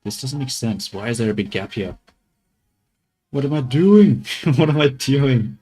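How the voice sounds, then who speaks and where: A man's voice: mid-range voice, animated, a little slow, warm tone, breathy edge. A man streaming as he models a 3D character, talking to himself and his chat in a joking, muttering way. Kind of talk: casual live commentary while working.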